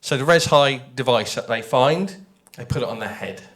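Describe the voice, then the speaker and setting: Male panel speaker, mid-pitched, thoughtful and explanatory, conversational, repeats phrases for emphasis. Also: animated, moderate pace, fairly bright tone. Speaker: audio drama writer on a festival panel.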